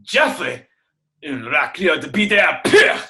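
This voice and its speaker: A man playing an excitable, worldly French prisoner with a French accent, theatrical, in an irreverent radio play. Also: a little high, takes it slowly, exaggerated voice. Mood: alarmed, excitable. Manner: theatrical, peppered with French exclamations.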